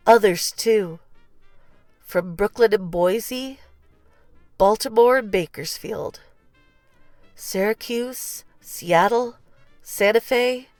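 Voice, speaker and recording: Slow-paced woman reading a storybook aloud. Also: medium pitch, no echo. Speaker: story reader. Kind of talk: scripted read-aloud narration of a children's picture book.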